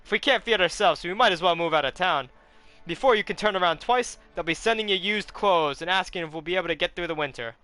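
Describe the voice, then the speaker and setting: A man's voice: high voice, fast, pushed and bright, pitched above the performer's own voice. A man voicing a stern, worried middle-aged farmer uncle in a storybook reading, clipped and scolding. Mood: harsh. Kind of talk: audiobook character voice.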